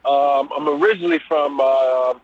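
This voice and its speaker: A man's voice: medium pitch, fairly even intonation, moderate pace. A middle-aged man from Brooklyn with a New York accent, a guest talking easily about his background with loose fillers. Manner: easygoing, loose with many fillers. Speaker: guest, business owner. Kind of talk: casual interview. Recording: phone-like call line.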